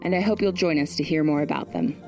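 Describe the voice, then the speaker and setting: Music plays clearly behind a woman announcer. She reads a scripted podcast introduction in a slightly low voice. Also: fast talker. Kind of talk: scripted narration.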